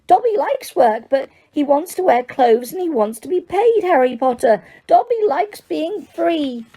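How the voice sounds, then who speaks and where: Give this a squeaky, eager little male house elf, as a woman reader puts him on, chattering in broken grammar and peppering everything with "sir". The voice soars high and swoops about a lot, yet keeps a mellow, soft tone underneath. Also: sing-song, a little slow, exaggerated voice.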